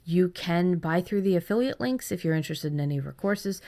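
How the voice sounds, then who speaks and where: A woman's voice: lowish voice, animated, fairly quick, dark tone. A woman in her thirties co-hosting a podcast in casual chat, effusive and emphatic, often repeating for stress. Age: 30s. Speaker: podcast co-host.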